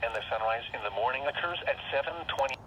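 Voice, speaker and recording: A man's voice: medium pitch, fast talker. A man reading a scripted weather bulletin, heard through a small radio speaker. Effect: played through a small radio speaker. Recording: dull, noisy radio broadcast heard from afar.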